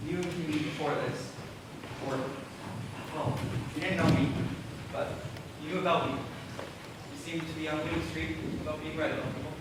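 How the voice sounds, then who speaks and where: A man's voice: a little high, flat delivery, normal speed, pauses often, close to an everyday voice. A young male student actor playing a grieving, guarded teenage boy in a school stage play. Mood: wry, guarded. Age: teenager or young adult. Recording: echoey noisy stage, distant mic.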